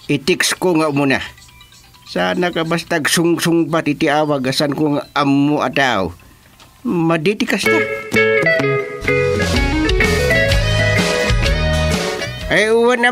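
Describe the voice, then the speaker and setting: A male actor with an Ilocano accent playing an excitable, joking village senior in a rural comedy radio drama. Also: fairly high pitch. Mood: busy, matter-of-fact. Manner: teasing, animated, colloquial.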